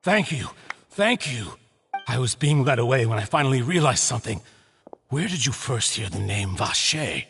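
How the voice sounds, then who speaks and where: Desperate convict, wildly swinging pitch. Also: male voice, big pitch swings, moderate pace, creaky, fairly bright tone, big-room sound, soft background music. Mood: earnest. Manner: stammering, pleading. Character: desperate, pleading male convict, obsessed and haunted. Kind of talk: anime game voice acting.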